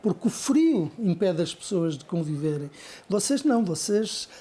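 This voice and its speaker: A woman from Portugal, speaking warmly and earnestly in an interview. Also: on the low side, sing-song, normal speed. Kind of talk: interview commentary.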